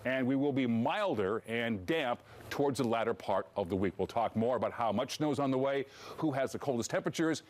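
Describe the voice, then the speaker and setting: A man's voice: mid-range voice, expressive, a little fast, few pauses, a little mellow. A man with an American accent delivering a live TV weather forecast as a meteorologist.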